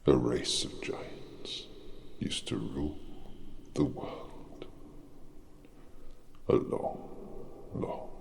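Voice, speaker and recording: A colossal, ancient-feeling giant druid murmurs in an ASMR fantasy roleplay. The voice is rock-bottom low and full of heavy gravelly fry, slipping into breathy whispers. He is gentle, brooding and cryptic, doling out short phrases extremely slowly over faint background noise. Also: very animated, exaggerated voice. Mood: somber.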